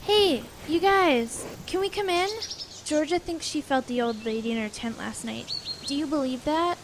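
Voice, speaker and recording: Female actor as high voiced girl camper, earnest and uneasy, audio drama, slight noise behind. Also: moderate pace. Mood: earnest, curious. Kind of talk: amateur audio drama acting.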